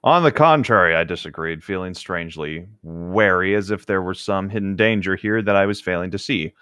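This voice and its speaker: A man's voice: low-pitched, a little fast, fairly bright tone, clean tone, dry recording, studio quality. A man reading a novel aloud as co-host of a read-along, with casual commentary.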